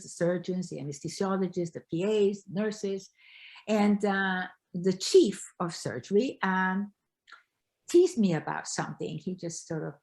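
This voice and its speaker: An older woman from Belgium talks about her life as the author being interviewed. She speaks reflectively and haltingly, often restarting her sentences as she searches for words. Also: lowish voice. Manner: halting, with many restarts and fillers. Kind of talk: reflective conversational storytelling.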